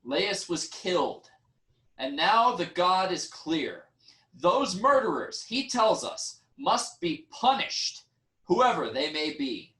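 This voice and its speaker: A man's voice: high voice, very animated, takes it slowly, sharp tone, exaggerated voice, poor audio. A man playing an earnest Theban royal messenger in a staged reading of Greek tragedy, emphatic and declarative. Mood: urgent, emphatic.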